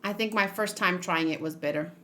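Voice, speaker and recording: A woman hosting a read-along in a casual, expressive and giggly reaction style. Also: fairly low pitch, brisk, a little hiss or hum. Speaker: host of a manga read-along.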